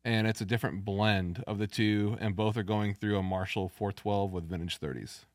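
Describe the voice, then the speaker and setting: A man with a deep voice, a podcast guest explaining guitar gear and technique in a knowledgeable, technical way. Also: steady tone, normal speed, no room sound. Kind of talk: casual chat about music gear. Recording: dry, music playing behind.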